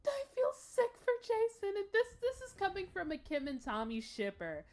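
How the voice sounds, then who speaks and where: A woman hosting a casual reaction show, confiding and expressive, with dramatic emphasis. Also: extremely high pitch, moderate pace.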